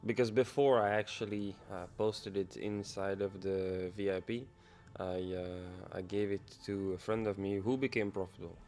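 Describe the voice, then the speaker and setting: Young man, lowish voice, relaxed livestream host musing to himself over faint music. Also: moderate pace, hardly any pauses. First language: Dutch. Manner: casual, loose, musing half to himself. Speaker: trading livestreamer. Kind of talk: live-stream commentary, thinking aloud.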